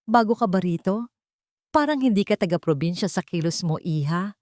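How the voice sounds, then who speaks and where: A sharp, meddling village captain woman from an audio drama, put on by a woman narrator. Her voice is raised a little and recorded dry. She pries and insinuates, judging whoever she talks to. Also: on the low side, animated, moderate pace, dry recording, close to an everyday voice. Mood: prying, judgmental. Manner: prying, insinuating. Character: nosy, judgmental woman village captain, sharp-tongued busybody.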